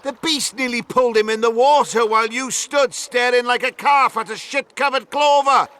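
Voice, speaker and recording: A man's voice: very high-pitched, moderate pace, sharp tone. A man voicing an indignant, coarse river-port townsman in a video game, blunt and scolding.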